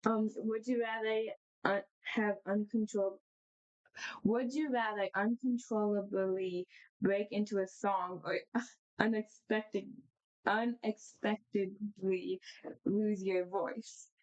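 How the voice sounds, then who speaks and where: A woman's voice: medium pitch, fairly even intonation, takes it slowly, dull audio. A woman chatting casually on a podcast, hesitant and giggly. Her voice sits in an ordinary mid range, and her sentences often trail off while she looks for the words. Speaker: podcast co-host. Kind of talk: casual chat.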